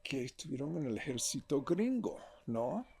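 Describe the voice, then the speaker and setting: An older man with a gravelly, rough edge to his voice and a faint hiss of noise behind him. He rambles through a relaxed interview, catching himself mid-word and tagging his points with little questions to the listener. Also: medium pitch, moderate pace. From Puerto Rican family, born in Texas. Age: 70s. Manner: rambling, tag questions, frequent self-corrections. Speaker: guest artist. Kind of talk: casual interview conversation.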